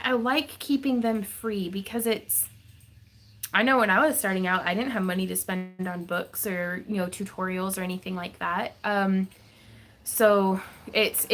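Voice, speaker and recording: A woman's voice: medium pitch, fairly bright tone. A woman hosting a craft livestream Q&A, chatty and giggly, with lots of fillers, from her home craft room. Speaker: craft channel host. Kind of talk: livestream Q&A chat.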